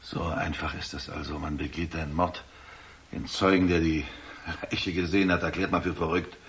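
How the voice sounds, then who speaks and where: A man's voice: a bass voice, little pitch movement, moderate pace, a bit bright. An actor playing an anxious, insistent older father in a German radio drama, agitated and indignant, tripping over his words. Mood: bitter, resigned. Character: anxious, bitter, insistent father accusing his own son.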